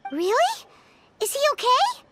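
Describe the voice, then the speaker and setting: A woman voicing a small, excitable, earnest childlike companion in an animated game, with a squeaky voice. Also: unhurried, over loud music, full character voice. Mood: concerned.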